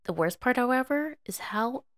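A woman narrating a piece of fiction as an expressive, scripted audio story reading. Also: on the high side, moderate pace, no room sound.

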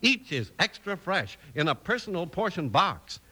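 A man's voice: high voice, big pitch swings, normal speed, sharp tone, slightly rough. A man with an American accent announcing on 1950s radio, reading scripted sponsor copy in a folksy, emphatic way. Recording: old radio broadcast with music. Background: music.

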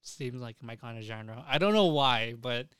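A man's voice: medium pitch, expressive, moderate pace, fairly bright tone, clean tone, no echo. Young guy podcasting, giggly n sweary, voice goes all over, real dry sound. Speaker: podcast co-host. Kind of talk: casual, crude comedic chat about anime and games.

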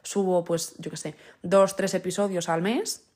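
A woman's voice: lowish voice, steady tone, measured pace, darkish tone, husky. A young woman hosting her own podcast, thinking aloud in a casual monologue full of fillers and asides. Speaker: podcast host.

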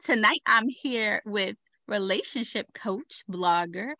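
A woman's voice: mid-range voice, lively intonation, takes it slowly, background noise. A young woman from Baltimore hosting a podcast interview in a casual, affirming way, laughing often. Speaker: podcast host.

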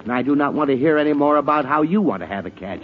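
Male actor as blustering, long-suffering father, forties radio comedy, wildly varied pitch, dull vintage sound. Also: expressive, quick pace, close to an everyday voice. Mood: irritated, shouting. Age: middle-aged. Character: exasperated, put-upon middle-aged father, grumbling radio-comedy dad. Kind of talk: 1940s radio comedy acting. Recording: muffled, noisy 1940s radio recording.

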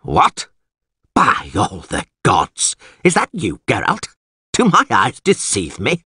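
A man's voice: on the low side, huge pitch swings, slow, pushed and bright, breathy edge, no room sound, clean studio sound, heavily acted. A man voicing a podgy, hot-tempered, blustering small-town alderman in an audiobook, full of exclamations and hesitations. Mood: indignant, shouting. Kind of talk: audiobook character acting.

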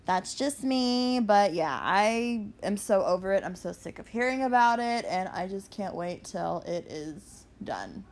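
Young woman, solo podcast host, unhurried delivery, blunt and profane casual venting. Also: medium pitch, expressive.

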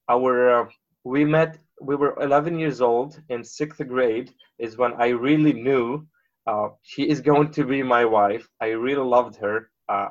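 A man in his thirties, from Lebanon, talking casually as an interview guest and pausing often on filler sounds. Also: medium pitch, muffled recording. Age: 30s. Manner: halting, many filler uhs.

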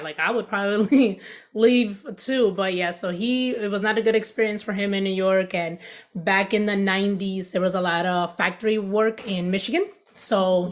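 Woman, 30s, from the United States, podcast guest, casual chat, rambling, laughs a lot, middle pitch. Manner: chatty, laughs often, rambling with fillers.

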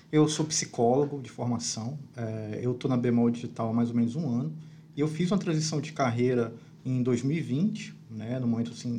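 A man's voice: mid-range voice, dark tone, slight background noise. A man speaking as a guest psychologist on a company livestream, thoughtful and hesitant. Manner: hesitant, many fillers and restarts. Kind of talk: casual panel talk.

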